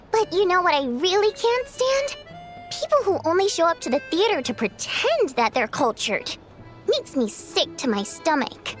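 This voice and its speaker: A woman voicing a proud, touchy young theater singer in a video game, measured and pointed. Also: extremely high pitch, big pitch swings, full character voice. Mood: disgusted. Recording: music under the voice.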